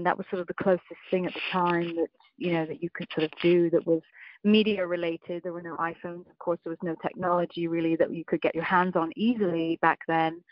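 A woman's voice: fairly low pitch, dry recording, highs cut off. A woman in her forties with an English accent, a film producer telling her story in an interview, thoughtful and full of small restarts. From Scotland, raised in England. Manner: reflective, with frequent ums and restarts. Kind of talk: conversational interview.

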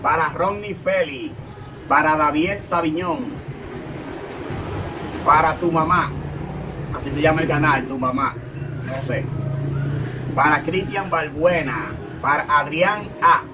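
Slow-talking Dominican man reading shout-outs. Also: on the high side, background noise. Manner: slow listing of names, reading off a phone. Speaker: channel host. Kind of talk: casual shout-outs read from a phone. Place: outdoors on a patio by a barred window.

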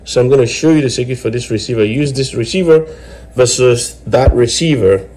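A man explaining step by step in a halting way, as host of a hands-on electronics tutorial. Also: mid-range voice, darkish tone. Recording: clear background noise with clicks.

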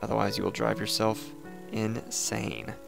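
A young man with a raspy voice, casually chatting and thinking aloud as he hosts a gameplay video. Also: on the low side, unhurried, music in the background. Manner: relaxed, chatty, thinking aloud while playing. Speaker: let's play host.

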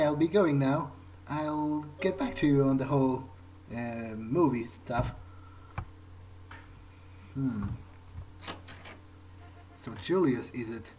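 A man's voice: mid-range voice, a little hiss or hum, poor audio. A man thinking aloud in character as he plays a game, musing and hesitant as if talking to himself. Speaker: gamer playing in character. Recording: loud music behind the voice. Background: game music.

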